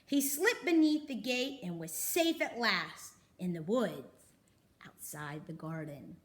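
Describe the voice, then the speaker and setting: Animated woman reading a children's story. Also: fairly low pitch. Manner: animated, dramatic emphasis with pauses. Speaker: storybook reader. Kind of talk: expressive children's story narration.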